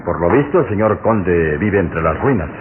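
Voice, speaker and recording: Police inspector: bold, questioning, doubting, fairly low voice, melodramatic delivery on noisy old radio sound. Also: male voice, animated, moderate pace. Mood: skeptical, curious. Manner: firm, questioning, musing aloud. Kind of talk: melodramatic radio drama acting. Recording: muffled, noisy old radio drama recording.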